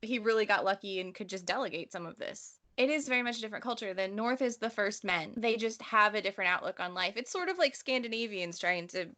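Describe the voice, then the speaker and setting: A woman's voice: medium pitch, animated, fast talker, hardly any pauses, pushed and bright. A woman co-hosting a casual book discussion, explaining her opinions in a slangy, conversational way. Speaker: podcast co-host.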